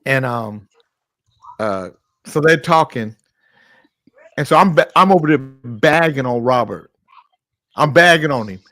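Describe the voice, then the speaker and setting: A man hosting a morning talk show, telling a long, profane anecdote in a casual, animated way. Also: medium pitch, a little slow, long pauses, dry recording. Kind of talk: casual storytelling.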